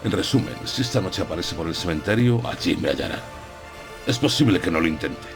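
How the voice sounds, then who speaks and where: A low-pitched old professor played by a man speaks over prominent music. He is grave, courtly and resolute, a vampire hunter in a gothic horror audiobook, choosing his words with solemn deliberation. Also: animated, brisk.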